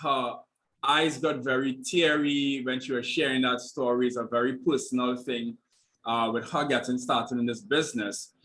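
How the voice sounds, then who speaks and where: A man hosting a recorded online team meeting, addressing the group with pauses and fillers. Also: medium pitch, a bit bright, rough recording. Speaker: meeting host. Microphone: video call.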